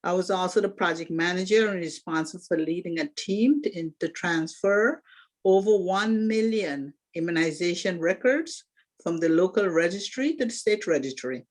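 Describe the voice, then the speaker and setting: A woman's voice: fairly low pitch, normal speed. A woman in her sixties, originally from Guyana, presenting herself as a candidate for an alumni association presidency in an earnest, organized prepared speech with occasional restarts. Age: 60s.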